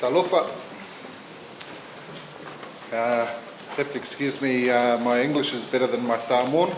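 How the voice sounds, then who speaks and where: A man in his fifties speaks at a funeral in a voice of middle pitch, pausing and searching for each phrase. His words come heartfelt and unpolished, and the room around him sounds echoey with a faint hiss underneath. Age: 50s. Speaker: family member giving a eulogy. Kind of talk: funeral eulogy. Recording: roomy echo.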